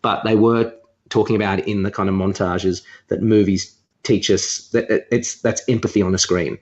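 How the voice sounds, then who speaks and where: A man's voice: on the low side, fairly even intonation, normal speed, a little mellow, lo-fi sound. A man co-hosting a podcast, chatting casually and giving his opinions. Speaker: podcast co-host.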